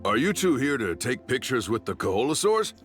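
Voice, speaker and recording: A man's voice: medium pitch, very animated, fairly quick, lightly acted. A man playing an inquisitive local bystander in an anime game, with a casual, questioning delivery. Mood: curious. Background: game music.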